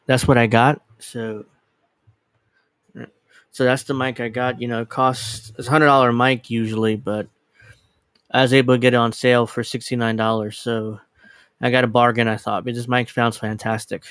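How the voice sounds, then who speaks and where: Casual streamer, man, late 30s, mid-pitched, rambly and self-correcting, dry close desk mic. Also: flat delivery, moderate pace, a bit bright, clear, resonant tone, dry recording. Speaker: livestream host. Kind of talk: casual livestream chat. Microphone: desk USB microphone.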